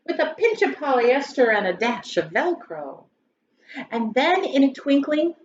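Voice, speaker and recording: A woman with a fairly high voice reads a storybook to schoolchildren in a theatrical, emphatic way. The room is echoey, and the recording is a bit dull with faint noise. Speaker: grade school educator reading aloud to pupils. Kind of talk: expressive children's storybook read-aloud. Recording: roomy echo, dull sound, faint noise.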